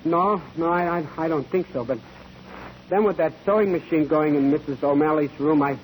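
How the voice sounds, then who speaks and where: Actor doing jittery stammering guy on the witness stand, highish voice, noisy old radio drama recording. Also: normal speed, lightly acted. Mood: nervous, unsure. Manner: stammering, hesitant. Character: nervous, hesitant male boarder testifying in court.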